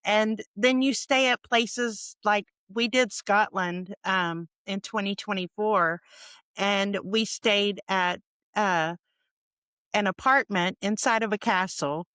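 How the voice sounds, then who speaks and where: A woman's voice: mid-range voice, fairly even intonation, takes it slowly, pushed and bright, smooth, dry recording. A woman with an American accent hosting a podcast, talking in a casual conversational style. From United States. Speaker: podcast host.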